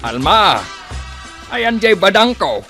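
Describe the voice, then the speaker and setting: A man's voice: sits high, sing-song, slow pace, music in the background, full character voice. An actor playing a plaintive older village father in a radio comedy-drama, given to repeating himself. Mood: subdued, regretful.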